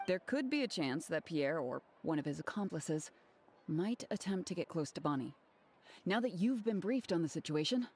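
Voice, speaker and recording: A woman's voice: on the low side, darkish tone. Formal, businesslike woman guard officer. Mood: earnest, appealing. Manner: formal, articulate, matter-of-fact. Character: earnest, businesslike female city guard officer giving a briefing. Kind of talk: scripted video game voice acting. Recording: game audio with background music. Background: background music.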